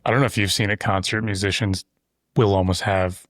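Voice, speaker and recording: A man's voice: fairly low pitch, fairly even intonation, a little fast, fairly bright tone, dry recording. A man hosting a podcast in a casual conversation, talking at length with loose asides on a close studio mic. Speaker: podcast host. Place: insulated shop-building studio.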